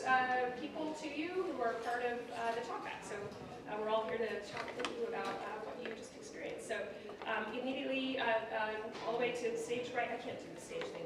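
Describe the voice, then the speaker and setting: A woman moderating a panel, introducing people informally and often breaking off her sentences. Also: high-pitched. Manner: hesitant, self-interrupting. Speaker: panel moderator, history professor. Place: theatre with a stage. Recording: echoey noisy hall, distant microphone.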